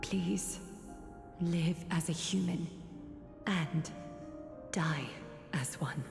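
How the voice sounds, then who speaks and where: Heavy echo wraps a solemn, tender woman in a game drama. She is low and very slow, gentle and ceremonial in her earnest delivery. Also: creaky, heavily acted. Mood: tender, pleading. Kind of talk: dramatic video game voice acting. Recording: echoing long reverb under music.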